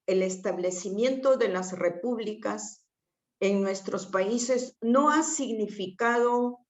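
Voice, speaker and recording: Quechua-speaking woman, slow careful panel talk, lots of "eh", echoey room on a call. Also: on the high side, a little mellow, highs cut off. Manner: measured and deliberate, with frequent pauses and "eh" fillers. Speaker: invited panelist. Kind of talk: formal talk on a video call.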